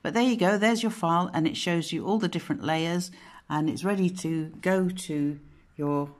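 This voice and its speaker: A woman with a low, somewhat croaky voice walks viewers through a craft software tutorial from home. She chats loosely, trails off and corrects herself as she goes. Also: normal speed. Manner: chatty, rambling, with frequent self-corrections. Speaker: craft channel host. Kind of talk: casual screen-recorded software tutorial. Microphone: phone camera pointed at a monitor.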